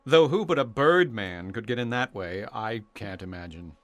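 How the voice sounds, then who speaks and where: Smug young playboy heir, swooping intonation. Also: male voice, fairly low pitch, lively intonation, normal speed, fairly bright tone, dry recording. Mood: boastful. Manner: suave, boastful, self-satisfied. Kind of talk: radio suspense drama acting.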